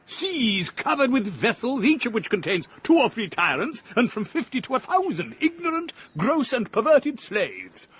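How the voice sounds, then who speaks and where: A fierce, gloomy philosopher in a radio play, declaiming with oratorical scorn. His voice sits high and swoops across a wide range. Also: big pitch swings, moderate pace, few pauses, fairly bright tone, husky, full character voice. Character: impassioned gloomy male philosopher, fierce critic of progress. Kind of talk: 1980s radio drama acting.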